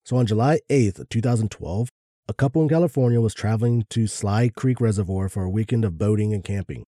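Very mellow, dark-voiced man. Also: lowish voice, fairly quick, dry recording, studio quality. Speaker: podcast co-host. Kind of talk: casual chat reading notes aloud.

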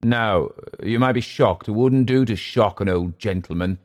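A man's voice: fairly low pitch, moderate pace, voice pushed up, lightly acted. A man voicing a bitter, volatile, drink-sodden Englishman in a literary story. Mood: mocking. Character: bitter, drunken, volatile Englishman gone to seed.

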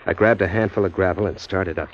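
A man's voice: low-pitched, steady tone, quick pace, a little hiss or hum, natural voice. A man playing a hard-boiled wisecracking drifter. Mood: narrating. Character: tough young male drifter, wisecracking, smitten. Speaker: narrator and lead. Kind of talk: 1940s radio acting. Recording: muffled 1940s radio broadcast.

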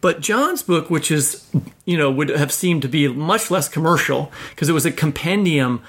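Male host, informal storyteller, lots of vocal fry, slightly high register. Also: lively intonation, moderate pace, fairly bright tone. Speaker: podcast host. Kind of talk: casual anecdotal chat.

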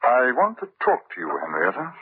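Bewildered, scoffing husband in vintage radio drama; husky, animated, incredulous, narrow-band sound. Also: male voice, medium pitch, a little fast. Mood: concerned, reproachful. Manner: stiff, incredulous, protesting. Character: exasperated English squire, bewildered, scoffing husband. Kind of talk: old-time radio drama acting. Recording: muffled old radio broadcast recording.

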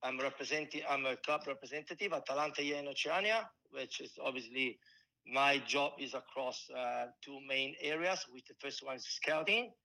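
Man, Italian accent, forties, halting with restarts, bright tone. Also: medium pitch, vocal fry, rough recording. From Italy. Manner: halting, many restarts and fillers. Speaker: football coach and scout. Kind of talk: casual interview answers.

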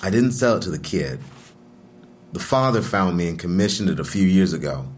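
An actor playing a defensive street artist under police questioning in an audio drama, speaking naturally and tersely. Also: male voice, low-pitched, expressive, fairly quick, slight background noise. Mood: guarded. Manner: terse, guarded.

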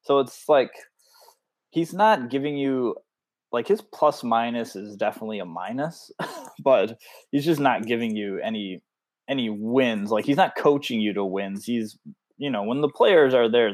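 A man's voice: mid-range voice, lively intonation, normal speed, no room sound. A man co-hosting a casual sports podcast, rambling through his points with lots of fillers. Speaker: podcast co-host.

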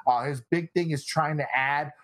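A young man born in South Korea, a guest on a podcast, chatting casually with a relaxed, filler-heavy way of talking. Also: fairly high pitch, moderate pace. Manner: relaxed, laid-back banter with frequent fillers. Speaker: guest analyst.

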